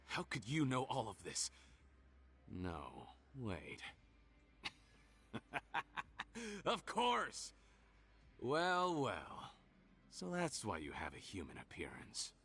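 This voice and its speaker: A man's voice: fairly low pitch, wildly swooping pitch, very slow, long pauses, fairly bright tone, exaggerated voice. A man voicing a scheming, mocking criminal villain in a video game, theatrical and gloating in his delivery. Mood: scheming, intrigued. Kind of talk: scripted video game dialogue.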